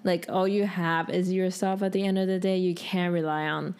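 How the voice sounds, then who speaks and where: A young woman talks in a voice pitched a little low. She sounds like a podcast co-host in casual conversation, working out her thoughts as she goes. Her phrasing is loose and informal, full of filler "like". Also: little pitch movement, normal speed, a little mellow, clear, resonant tone. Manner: informal, thinking aloud, peppered with "like". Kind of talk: casual chat.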